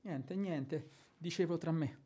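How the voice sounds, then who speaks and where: An actor playing a grumbling, disapproving household servant in a radio drama, querulous and given to muttering. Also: male voice, fairly high pitch, takes it slowly, warm tone, husky. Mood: disgruntled. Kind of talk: radio drama stage acting.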